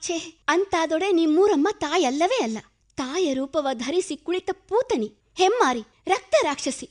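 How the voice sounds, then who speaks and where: A woman's voice: extremely high pitch, animated, moderate pace. An actress in a radio play playing a compassionate village woman, pleading in impassioned, poetic, old-fashioned literary speech. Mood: indignant, anguished.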